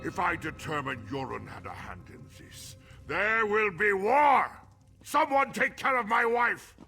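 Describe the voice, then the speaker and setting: A man's voice: high-pitched, sing-song, measured pace, vocal fry, sharp tone, faint music behind, full character voice. An actor playing a proud, hard-headed, bitter widowed king in a video game, imperious and scornful. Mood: furious. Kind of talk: scripted video game dialogue.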